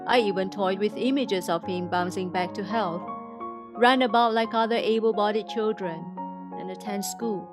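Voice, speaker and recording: A woman from Indonesia reads a scripted inspirational testimony in a formal, measured way. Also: medium pitch, fairly even intonation, over music. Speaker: testimony speaker.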